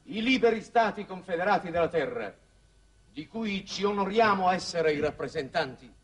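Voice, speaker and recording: A man's voice: sits high, expressive, moderate pace. An actor playing a pompous middle-aged statesman giving grand, solemn oratory in an old 1960s TV drama. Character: pompous male statesman making a solemn official speech. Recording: distant, noisy old 1960s TV soundtrack.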